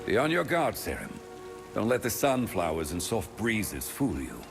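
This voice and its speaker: A man's voice: fairly low pitch, wildly swooping pitch, normal speed, gravelly, over music, heavily acted. An actor playing a suspicious, loyal male warrior in a fantasy game, brooding and dramatic in delivery. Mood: wary, warning. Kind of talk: fantasy video game dialogue.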